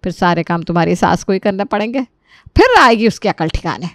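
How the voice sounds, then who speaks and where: A woman's voice: medium pitch, expressive, brisk, fairly bright tone, no room sound, studio quality. Scolding middle-aged Indian-style mother-in-law acted by a woman. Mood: bossy, scolding. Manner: scolding, sarcastic, complaining. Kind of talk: Hindi animated moral story acting.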